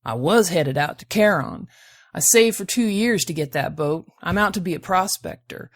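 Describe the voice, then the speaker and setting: A rough, thin drifter of the spaceways speaks in a put-on lilting accent, played by a woman reading an audiobook. He is folksy and polite, with a low voice that plays the grateful prospector. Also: animated, normal speed, dry recording, studio quality. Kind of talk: audiobook character voice.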